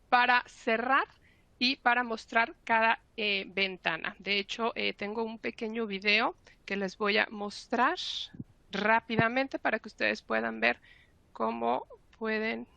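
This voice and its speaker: A woman opens an online webinar in a friendly, explanatory way, her voice hoarse and gravelly from illness. Her tone is bright and fairly high. The sound is dry and close. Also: no room sound. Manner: friendly, explanatory, filler-heavy. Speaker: marketing presenter. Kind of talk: webinar host introduction.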